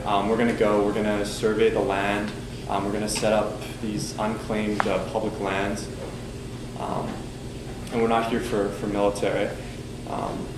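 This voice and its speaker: Young guy talking thru a class presentation, lots of ums, kinda flat, echoey room w/ ac hum. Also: lowish voice. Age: young adult. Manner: loose, filler-heavy talk with many ums and likes. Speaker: student presenter.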